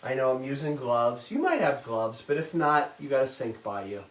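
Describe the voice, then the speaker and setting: A middle-aged man teaching a live online baking class, talking loudly and chattily and checking in with "okay?" all the time. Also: medium pitch, big pitch swings, normal speed. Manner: loud, chatty, says "okay?" often. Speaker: cooking instructor. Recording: roomy kitchen sound, mic at a distance.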